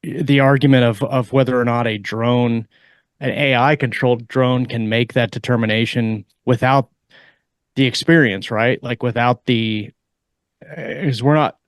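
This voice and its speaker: Mid-pitched man, dry close podcast sound. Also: fairly even intonation, unhurried, no room sound. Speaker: podcast co-host. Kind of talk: casual panel discussion.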